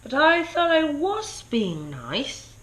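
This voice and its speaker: A woman's voice: high-pitched, huge pitch swings, slow pace, pitched above the performer's own voice, full character voice. A woman voicing a vain, bossy pig king from a children's storybook, demanding and sorry for himself. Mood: hurt, puzzled. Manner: demanding, self-pitying.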